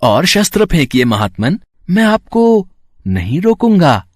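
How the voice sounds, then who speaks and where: A man acting a lively young elephant-headed god. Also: high voice, measured pace, no room sound, clean studio sound, heavily acted. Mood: taunting. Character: young elephant-headed boy god, dutiful, boldly calm. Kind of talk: acted dialogue in a Hindi mythological story.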